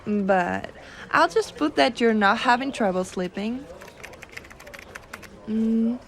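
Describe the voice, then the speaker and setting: A woman playing a gentle, teasing giantess secretary in an ASMR roleplay, coaxing and cooing softly. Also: on the high side, animated. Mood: gentle, attentive. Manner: soft coaxing, cooing, unhurried.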